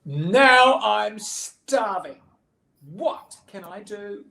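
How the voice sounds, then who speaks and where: A little girl bunny from a storybook, acted out by a woman, whines and pleads with great drama. The voice leaps high and drags words out slowly, stubborn to the end, in an echoey room. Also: lively intonation, gravelly, sharp tone, heavily acted. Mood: pleading, hungry. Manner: pleading, stretched-out words, melodramatic.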